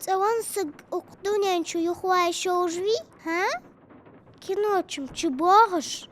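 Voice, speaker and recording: A performer voicing a small, gentle and playful child in a cartoon dub, with a childlike delivery. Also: very high voice, normal speed, slight background noise. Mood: talkative.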